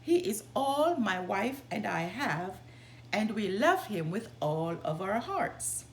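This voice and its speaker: A woman's voice: mid-range voice, big pitch swings, takes it slowly, a little hiss or hum. A woman voicing a poor, loving woodcutter father in a storybook, earnest and tender.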